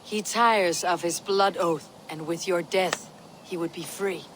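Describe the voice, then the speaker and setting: A woman's voice: fairly low pitch, fairly even intonation, a little slow, a little hiss or hum, lightly acted, low-quality recording. A woman playing a stern, blunt Viking warrior in a video game, questioning in a terse, commanding way. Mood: grave, reporting. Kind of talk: video game cutscene acting.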